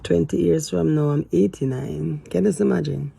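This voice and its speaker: A woman in her fifties talking hesitantly to camera in a casual, rambling way, with sighs and trailing pauses. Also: low-pitched, gravelly, dark tone, husky, no echo. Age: 50s. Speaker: vlogger. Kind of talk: casual confessional talk to camera.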